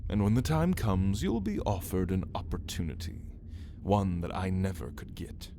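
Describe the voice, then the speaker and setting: A man's voice: low voice, big pitch swings, normal speed, background noise. A man playing a brooding, menacing caged vampire in an ASMR roleplay, speaking in a theatrical, taunting way with archaic wording. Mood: sly, persuasive. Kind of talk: ASMR roleplay, dramatic monologue to listener.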